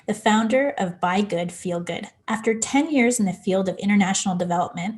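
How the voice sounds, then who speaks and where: A woman's voice: mid-range voice, fairly quick, darkish tone. Chatty woman podcast host. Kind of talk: conversational podcast interview.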